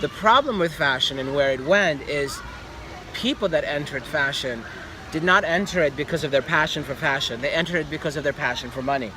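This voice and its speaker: A man's voice: on the high side, brisk. Albanian-born guy in a casual interview, emphatic, repeats himself, noisy street behind him. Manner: emphatic, repeats phrases for stress. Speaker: fashion designer, interview guest. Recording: noisy outdoor street with traffic.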